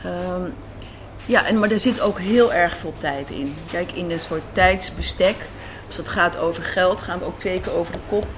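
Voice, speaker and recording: A woman's voice: fairly low pitch, normal speed, music in the background. A woman from the Netherlands answering interview questions in a conversational, emphatic way. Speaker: guest, radio drama writer and director. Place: café, indoors. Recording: faint café background noise. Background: faint café ambience.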